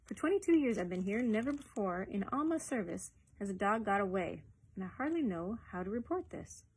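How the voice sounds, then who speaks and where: A woman's voice: medium pitch, very animated, a little fast, a little hiss or hum, low-quality recording. A woman voicing a stern, pompous older male civil servant who lectures with emphasis in a storybook reading. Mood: pondering.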